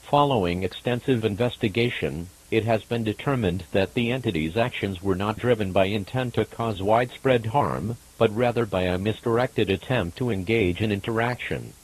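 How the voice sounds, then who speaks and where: Man, lowish voice, very husky, formal emergency alert announcer, impersonal scripted reading. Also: normal speed, hardly any pauses, rough recording.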